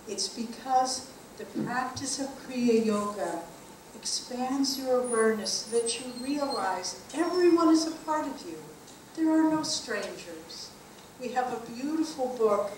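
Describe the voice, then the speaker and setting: A woman giving an earnest spiritual talk as a teacher, measured and slowing down to stress key phrases. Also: mid-range voice, a little hiss or hum. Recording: echoey room from a distant mic.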